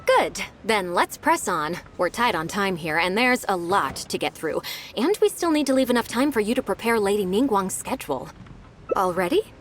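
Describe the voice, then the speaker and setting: An actress playing an earnest, fussy young secretary in a game, talking briskly and in a businesslike way, a little flustered. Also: female voice, medium pitch, lively intonation, a little hiss or hum, natural voice. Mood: dutiful. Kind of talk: game voice acting. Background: game music.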